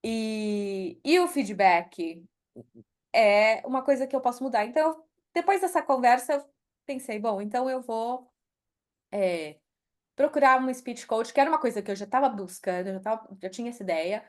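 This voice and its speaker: A woman's voice: a little high, animated, normal speed. A middle-aged woman from Brazil with a Brazilian accent, speaking candidly as a podcast interview guest and slipping into English phrases. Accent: Brazilian Portuguese. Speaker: guest, HR executive.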